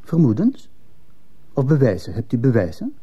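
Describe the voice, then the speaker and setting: An actor playing a stern, probing male police commissioner in a radio play, questioning in a formal, measured manner. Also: medium pitch, wildly swooping pitch, warm tone, slightly rough, highs cut off. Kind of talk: Dutch-language radio drama acting.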